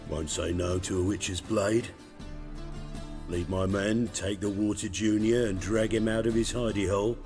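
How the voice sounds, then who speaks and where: An actor playing a blunt, commanding gang boss in a video game. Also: male voice, on the low side, fairly even intonation, a little slow, a little mellow, soft background music, natural voice. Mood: blunt, businesslike. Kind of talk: video game cutscene acting.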